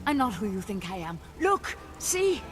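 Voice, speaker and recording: A woman's voice: mid-range voice, animated, fast talker, over music, close to an everyday voice. An actress playing a panicked noblewoman in a video game, frantically pleading for her life.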